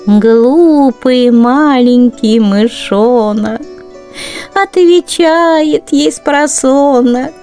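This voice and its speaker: A woman's voice: high voice, extremely slow, mellow, faint music behind. A woman storyteller narrating children's verse expressively.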